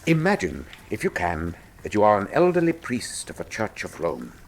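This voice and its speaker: An actor playing an urbane, self-assured British detective in a radio drama, suave, theatrical and dryly ironic. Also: male voice, on the low side, wildly swooping pitch, moderate pace, slight background noise. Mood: grave, dramatic.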